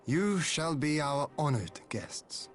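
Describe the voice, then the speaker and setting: An actor playing a dignified, plain-spoken, wary lord in a video game, with a formal, measured, direct manner. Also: male voice, fairly high pitch, very animated. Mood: composed, gracious. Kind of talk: scripted video game voice acting. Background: background music.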